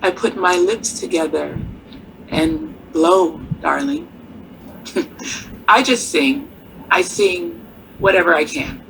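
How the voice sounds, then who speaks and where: An actress playing a poised, worldly nightclub singer in a radio drama, with theatrical, wryly warm delivery. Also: female voice, fairly low pitch, animated, creaky, noisy background, exaggerated voice. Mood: playful, gracious. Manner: theatrical, unhurried, uses endearments like darling and honey.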